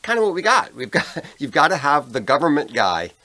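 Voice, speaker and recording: A man's voice: a little high, very animated, fairly quick, sharp tone. An American man co-hosting a podcast in casual conversation over a beer. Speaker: podcast co-host.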